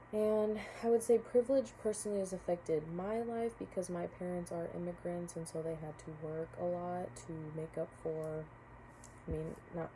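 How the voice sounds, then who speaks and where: Soft-spoken young woman, low and mellow. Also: vocal fry, slight background noise, rough recording. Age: young adult. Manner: hesitant, many fillers and restarts. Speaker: college student. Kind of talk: casual spoken class reflection.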